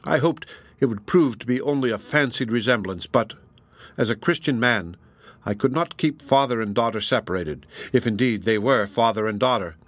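A solemn, upright sea captain voiced by a male narrator speaks in formal, earnest sentences. The voice is mid-pitched for a man. It is storybook dialogue, recorded dry. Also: normal speed, no room sound, lightly acted. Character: upright middle-aged sea captain, solemn loving foster father.

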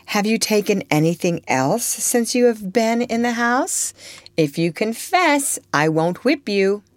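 A woman's voice: mid-range voice, lively intonation, measured pace, no room sound, voice pushed up, lightly acted. A woman voicing a strict, prim middle-aged aunt who scolds and exclaims as she reads a storybook aloud. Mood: coaxing. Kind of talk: storybook reading.

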